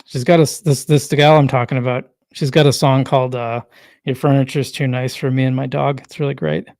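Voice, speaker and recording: A man hosting a casual solo livestream, rambling and correcting himself as he reads chat comments, his voice worn and going hoarse. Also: medium pitch, fairly even intonation, fairly quick, darkish tone, no room sound, studio quality. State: losing his voice. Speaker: livestream host.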